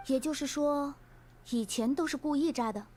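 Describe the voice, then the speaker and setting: Knight commander, voiced by an actress: composed adult woman, slightly high voice, authoritative yet kind, faint noise behind. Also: a little mellow, natural voice. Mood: composed, questioning. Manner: measured, authoritative but kind. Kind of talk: anime video game voice acting in Mandarin.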